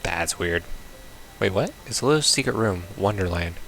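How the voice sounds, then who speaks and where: A man's voice: low-pitched, animated, normal speed, vocal fry, fairly bright tone, slight background noise. A man giving casual let's-play commentary on a visual novel, reading text aloud and reacting in a wry, offhand way. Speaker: gamer playing a visual novel.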